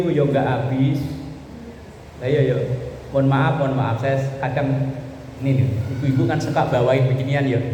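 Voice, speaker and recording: A man's voice: a little high, a little mellow. A man with a Javanese Indonesian accent giving an informal Islamic sermon to a women's study group, conversational and colloquial, explaining things with repetition. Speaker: Islamic preacher. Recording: echoey reverberant hall, faint background noise.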